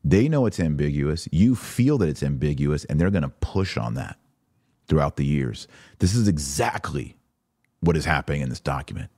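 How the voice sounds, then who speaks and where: A man's voice: rumbling low, expressive, normal speed, darkish tone, no echo, clean studio sound. A man hosting a podcast of religious commentary, speaking emphatically with rhetorical questions and acted-out imagined objections. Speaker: podcast host. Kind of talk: religious commentary to a live online audience.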